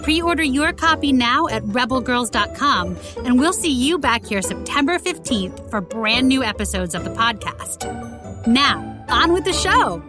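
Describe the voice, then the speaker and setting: A woman's voice: high voice, very animated, measured pace, over music. A woman reading a scripted podcast introduction as the show's announcer.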